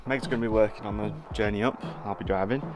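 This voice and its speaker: A man's voice: lowish voice, quick pace, over music. A man, chatting casually to a handheld camera from a campervan and the outdoors. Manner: relaxed, chatty, with frequent "uh" and "um". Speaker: vlogger. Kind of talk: casual travel vlog to camera. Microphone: handheld camera at arm's length.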